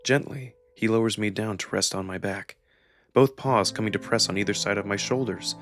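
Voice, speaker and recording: Low-voiced man narrating over music. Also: moderate pace, fairly bright tone. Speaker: narrator and performer of a visual novel. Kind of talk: dramatic first-person scripted narration.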